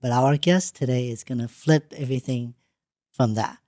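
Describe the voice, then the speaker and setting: A woman, not a native English speaker, hosting an interview in a studio, talking in a halting way with frequent restarts. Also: very deep voice, warm tone, no room sound. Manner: halting, restarts often, plain unpolished English. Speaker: podcast host. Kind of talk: casual interview.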